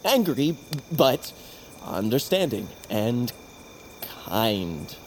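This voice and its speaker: A man's voice: medium pitch, very animated, extremely slow, a little hiss or hum. An actor in an audio drama playing a nervous, earnest young tribesman who stammers and pleads. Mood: polite, awkward.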